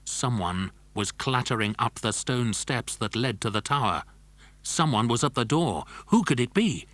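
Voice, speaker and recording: A man's voice: medium pitch, animated, moderate pace, fairly bright tone, dry recording. A man narrating a scripted children's adventure story. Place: dry studio.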